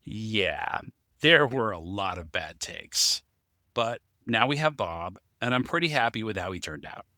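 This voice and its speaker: Bright, low-pitched man chatting on a podcast. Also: lively intonation, a little slow, no room sound, studio quality. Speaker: podcast co-host, story writer and amateur voice actor. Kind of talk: casual podcast discussion.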